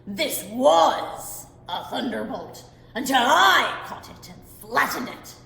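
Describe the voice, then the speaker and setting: A woman's voice: high-pitched, lively intonation, takes it slowly, creaky, fairly bright tone, exaggerated voice. A woman voicing a huge, gluttonous male giant who bellows and blusters, heard in a roomy hall with long reverb. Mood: menacing.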